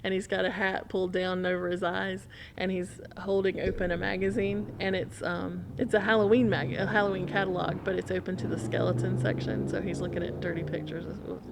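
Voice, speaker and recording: A woman's voice: lowish voice, little pitch movement, a little fast, creaky, hardly any pauses, very noisy. A woman in a casual podcast conversation, telling an anecdote and acting out quoted remarks as she goes.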